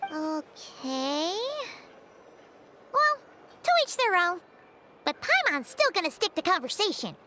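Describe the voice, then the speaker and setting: A woman voicing a tiny, sassy, childlike fairy companion in a video game, squeaky and exclamatory, calling herself by name. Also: very animated, takes it slowly, pauses often, bright, forceful tone, slight background noise, exaggerated voice. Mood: matter-of-fact. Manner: exclamatory, scolding, refers to self by name. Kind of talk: English video game voice acting.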